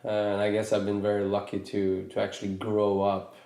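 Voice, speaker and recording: A man in his thirties from Norway talks slowly through his thoughts, circling back and restarting his sentences as he goes. His voice sits on the low side and sounds warm and mellow. The room around him is noticeably echoey. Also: completely flat delivery. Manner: thoughtful, hesitant with many restarts. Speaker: podcast host. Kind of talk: reflective casual conversation.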